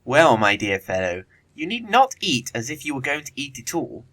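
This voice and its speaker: A man acting a witty, teasing young English gentleman in an audio play of a period comedy, with an arch, theatrical delivery. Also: medium pitch, sing-song, moderate pace. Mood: teasing, insistent.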